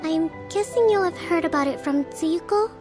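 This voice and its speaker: An actress playing a composed young female island leader in a video game, speaking in measured, formal phrases. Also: very high-pitched, over music. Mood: courteous, composed. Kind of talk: video game dialogue acting.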